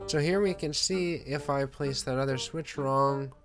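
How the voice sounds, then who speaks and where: A man's voice: medium pitch, measured pace, over music. A man giving casual, rambling gameplay commentary as a video host, offhand, self-deprecating and casually sweary.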